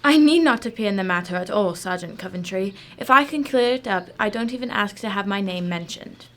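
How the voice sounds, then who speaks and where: A woman's voice: mid-range voice, expressive, a little fast, fairly bright tone, slight background noise. A woman acting a shrewd, unflappable female detective in a radio drama, formal, composed and condescending. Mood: courteous.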